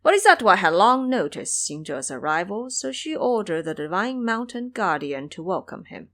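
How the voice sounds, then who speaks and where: Casting: female narrator for an audio drama, mid-range voice, highly expressive storybook reading. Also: moderate pace.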